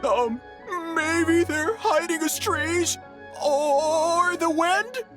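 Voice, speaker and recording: Squeaky, naive little yokai creature. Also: female voice, animated, drawn-out words, full character voice. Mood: flustered, puzzled. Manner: speaks of self in third person, hesitant. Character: small, naive, flustered shape-shifting yokai creature. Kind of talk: video game voice acting. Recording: music behind the voice.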